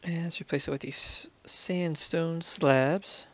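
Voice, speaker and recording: A man's voice: on the high side, steady tone, slow pace. A man giving casual gameplay commentary, muttering to himself and counting under his breath. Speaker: gaming video creator.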